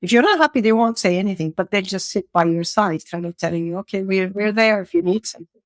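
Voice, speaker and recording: A woman's voice: mid-range voice, fast talker, no echo, studio quality. A middle-aged woman from Saudi Arabia, a podcast guest talking in a casual, anecdotal way.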